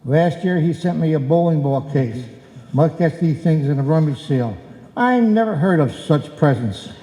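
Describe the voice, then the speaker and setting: A man's voice: a little high, animated, moderate pace, dark tone, natural voice. A man playing a grumbling, henpecked, argumentative husband in an old-time radio comedy, performed live. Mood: indignant. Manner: argumentative, exasperated, wisecracking. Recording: echoey live hall, roomy sound.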